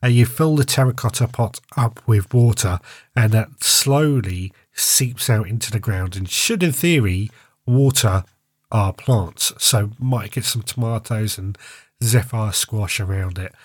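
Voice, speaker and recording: A man's voice: on the low side, measured pace, husky, no echo. A man, stuffy-nosed with a sore throat, hosting a casual solo podcast in a chatty, rambling way and often restarting his phrases. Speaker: podcast host.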